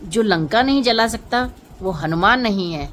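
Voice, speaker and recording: A woman's voice: medium pitch, expressive, unhurried, a bit bright, slight background noise. A woman vlogger delivering an emotional political monologue to viewers, impassioned and rhetorical, repeating phrases for emphasis.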